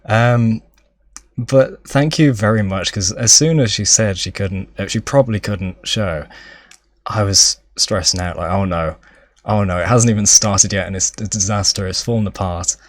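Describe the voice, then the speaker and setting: Very low-voiced male podcast host. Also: normal speed, no echo. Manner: friendly, encouraging, asks follow-up questions. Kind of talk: casual podcast chat.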